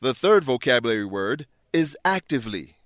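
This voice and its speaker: A man's voice: mid-range voice, big pitch swings, normal speed, a bit bright, no echo, clean studio sound. Man presenting a scripted English lesson. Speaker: host and English teacher.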